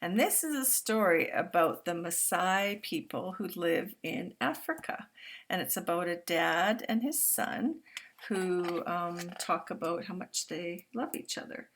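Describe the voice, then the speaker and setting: An older woman reading a picture book to children, stopping to explain the pictures gently with soft whispered asides. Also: on the low side. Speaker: storytime reader.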